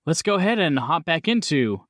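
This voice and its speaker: A man's voice: on the high side, very animated, normal speed, a bit bright, no echo, studio quality. A man hosting a tabletop role-playing game as game master, explaining rules and calling on players in a casual way.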